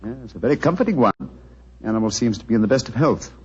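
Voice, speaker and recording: An actor playing a shrewd, courteous English gentleman detective with a crisp, precise manner, in 1940s radio style. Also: medium pitch, lively intonation, fast talker, heavy background noise, natural voice. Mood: calm, observant. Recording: muffled 1940s radio recording.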